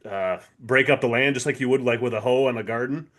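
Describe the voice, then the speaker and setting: Male co-host, medium pitch, agreeable and unpretentious, casual conversational delivery. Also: fast, fairly bright tone. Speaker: podcast co-host. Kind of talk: casual chat.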